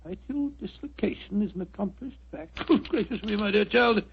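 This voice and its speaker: Genial older British doctor, high creaky voice, bumbling and repetitive; muffled old-time radio drama. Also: male voice, animated, normal speed, heavily acted. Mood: incredulous, blustering. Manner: bumbling, stammering, repeats himself. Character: genial, flustered English doctor, fond husband and storyteller. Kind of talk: 1940s radio acting. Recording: muffled vintage 1940s radio recording.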